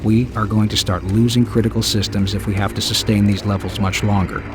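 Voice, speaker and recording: An actor playing a strained, dutiful engineering manager dictating formal audio logs in a video game. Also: male voice, lowish voice, little pitch movement, a little fast, over music, close to an everyday voice. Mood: formal, concerned. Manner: formal, businesslike log dictation.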